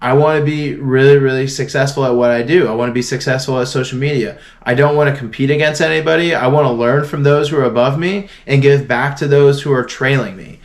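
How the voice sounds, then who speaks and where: A young man from Florida speaks to his viewers in a relaxed, earnest way. He rambles a little, restarting sentences and dropping fillers as he works through his thoughts, with a voice of middling pitch. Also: fairly quick, hardly any pauses. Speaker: vlogger. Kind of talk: casual motivational talk to camera.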